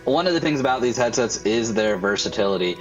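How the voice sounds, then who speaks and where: A man's voice: medium pitch, expressive, fast, dull audio. A man in his thirties giving an explanatory testimonial into a close mic at home. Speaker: church creative director, interviewee. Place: bedroom at home. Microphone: close dynamic mic on boom arm. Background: background music.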